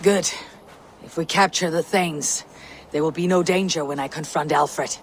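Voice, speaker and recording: An actor playing a fierce, vengeful Viking warrior leader in a video game cutscene, commanding and grave, with a gravelly voice. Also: male voice, on the high side, fairly even intonation, moderate pace, a little hiss or hum, natural voice. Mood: resolute, commanding.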